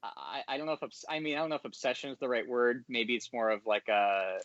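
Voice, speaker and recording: A man's voice: medium pitch, a little fast, a bit bright, no room sound, highs cut off. A man talking casually as a podcast guest, hesitant and polite in his phrasing. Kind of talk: casual interview talk. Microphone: online call.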